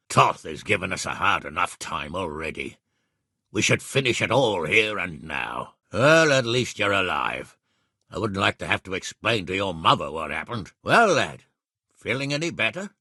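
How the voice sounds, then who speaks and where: A man playing a blunt, hot-tempered middle-aged medieval lord in a video game, with a raspy, gravelly voice and an authoritative manner. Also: fairly low pitch, bright, forceful tone, no room sound, clean studio sound, heavily acted. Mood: grave, brooding. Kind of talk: video game character acting.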